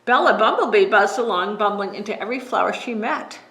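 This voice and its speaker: A woman librarian reading bedtime stories to children in a gentle, friendly storytelling manner. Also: mid-range voice, lively intonation, brisk. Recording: echoey room.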